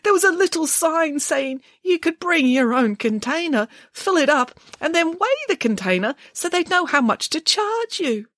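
Woman playing a huge sensitive male bear, tearful wailing, lively swooping pitch, dry sound. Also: normal speed, hardly any pauses, dry recording. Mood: earnest. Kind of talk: storybook character voice.

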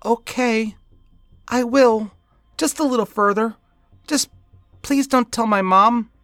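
Little boy adult male actor: very high, loud childlike pitch, innocent, eager, timid, horror storybook style. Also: slow, no room sound, clean studio sound, heavily acted. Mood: eager, hesitant.

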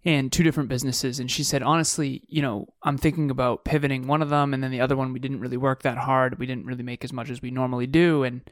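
Podcast guy thinking out loud, dry close sound, restarts a lot. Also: medium pitch, flat delivery, fast, hardly any pauses, no room sound. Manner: musing, thinks aloud with restarts. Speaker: podcast co-host. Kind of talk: casual podcast chat.

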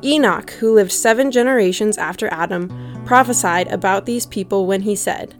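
A woman's voice: medium pitch, normal speed, soft background music. A woman reading a scripture passage aloud from a script as a reader.

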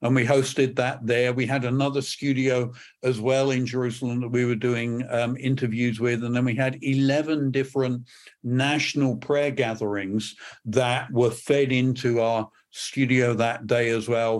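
An English man, a podcast guest, speaking in a measured way with frequent ums and restarts. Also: medium pitch. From England. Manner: measured, many fillers and restarts. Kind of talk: podcast interview.

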